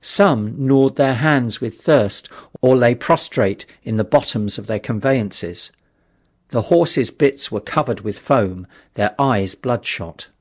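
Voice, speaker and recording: A man reading a literary story as an audiobook narrator, with a dramatic, measured delivery. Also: mid-range voice.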